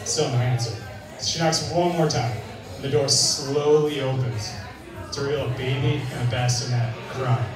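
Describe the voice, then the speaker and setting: A young man doing a stand-up set on a bar stage, with a dry, deadpan delivery, filmed on a handheld mic from out in the crowd. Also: mid-range voice, normal speed, music in the background. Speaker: stand-up comedian. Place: bar stage with a live audience. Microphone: handheld mic, filmed from far in the crowd. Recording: echoey bar sound from far off, crowd laughter.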